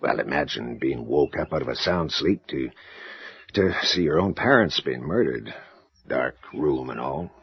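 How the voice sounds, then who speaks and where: Rural police sergeant, gravelly, swooping intonation, halting folksy speech, audio drama, dull recording. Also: male voice, very deep voice, animated, no echo, heavily acted. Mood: grim, puzzled. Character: rural police sergeant, folksy male, haunted by old case. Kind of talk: audio horror drama acting.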